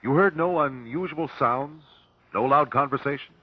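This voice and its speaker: An actor playing a persistent examiner at an inquest, asking formal, clipped questions in an old radio drama. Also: male voice, medium pitch, moderate pace, husky, close to an everyday voice. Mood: pressing, formal. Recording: dull, dry old radio recording.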